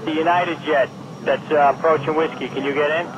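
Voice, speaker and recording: A man's fairly high voice comes through a noisy radio channel, clipped and brisk. He directs pilots as a ground controller, sounding exasperated and cracking dry jokes between orders. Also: fairly even intonation, highs cut off. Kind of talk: air traffic control radio.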